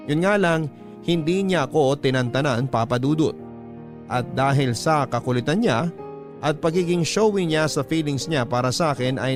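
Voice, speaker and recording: Filipino man narrating, music underneath. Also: mid-range voice. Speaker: radio drama narrator. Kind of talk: scripted first-person story narration. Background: background music.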